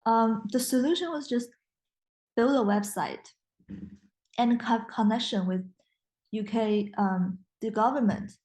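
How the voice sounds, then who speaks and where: A woman's voice: a little high, a little slow, long pauses, a little mellow. A young woman whose first language is Mandarin, a guest in a casual interview, speaking English with frequent restarts and fillers. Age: young adult. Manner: hesitant, many restarts and fillers.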